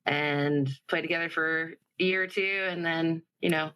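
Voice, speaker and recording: A woman's voice: deep voice, steady tone, moderate pace, pushed and bright, clean tone, rough recording. A woman from Alaska, a musician guest telling her story in a laid-back way. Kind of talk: casual interview chat.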